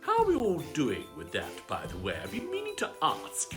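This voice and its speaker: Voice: mid-range voice, wildly swooping pitch, moderate pace, over music. Pompous, swooping aristocratic cat lord. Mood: affectionate. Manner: pompous, gushing, luvvie exclamations. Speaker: live bedtime story host. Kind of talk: theatrical livestream greeting and shout-outs.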